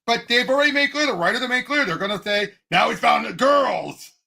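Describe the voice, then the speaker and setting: A man co-hosting a podcast, discussing a movie casually in an excitable, emphatic fan's way. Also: very high-pitched, brisk, gravelly. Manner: excitable, emphatic fan talk. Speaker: podcast co-host.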